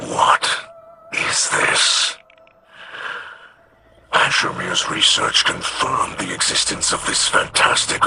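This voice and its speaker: A man voicing a wise, reverent alien ally in a video game, measured, hushed and earnest, pausing between phrases. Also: on the high side, creaky, noisy background, heavily acted. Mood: urgent guidance.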